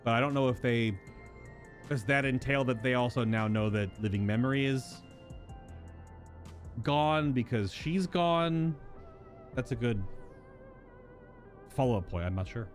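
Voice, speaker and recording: A man's voice: mid-range voice, measured pace, long pauses. A man streaming a video game, chatting and musing aloud as he gives live commentary on the story. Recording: music behind the voice. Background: video game music.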